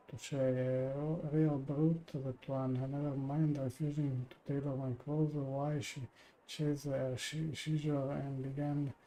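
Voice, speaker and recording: Guy streaming a game, reading out text with stumbles, mellow voice, pretty monotone. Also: mid-range voice, a little hiss or hum, low-quality recording. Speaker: live streamer. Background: faint game music.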